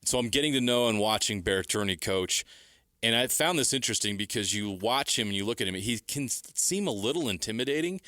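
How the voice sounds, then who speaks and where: A man's voice: fairly low pitch, animated, fairly quick, pushed and bright, dry recording. A man hosting a sports talk show, conversationally laying out his observations before putting a question.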